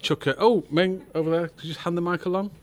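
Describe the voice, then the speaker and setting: A man's voice: sits high, fairly even intonation, fast. A man hosting an audience Q&A after a film screening, jokey and steering the talk. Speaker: Q&A host. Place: cinema auditorium.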